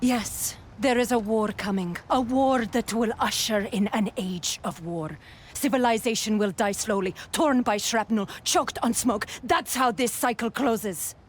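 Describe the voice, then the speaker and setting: A woman playing a cold, resolute warlord in a video game, speaking gravely with measured menace. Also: on the high side, slight background noise, close to an everyday voice. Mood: grim. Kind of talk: dramatic video game cutscene acting.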